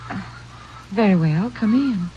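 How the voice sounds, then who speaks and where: An actress playing a gracious but uneasy ranch wife, hesitant and evasive, in an old-time radio drama. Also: female voice, medium pitch, expressive, measured pace, lightly acted. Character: polite, uneasy ranch wife hiding something. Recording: dull vintage radio recording, faint noise.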